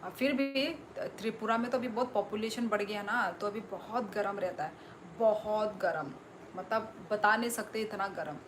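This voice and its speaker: A woman's voice: mid-range voice, moderate pace, slight background noise, rough recording. A young woman from Tripura with a Northeast Indian accent, chatting casually on a live stream while reading viewer comments aloud. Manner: chatty, reads out viewer comments and thanks them.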